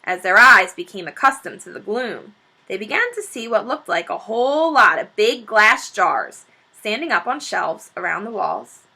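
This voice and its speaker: A woman reading a children's book aloud as an expressive storyteller. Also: medium pitch, moderate pace, husky, poor audio. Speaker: story reader.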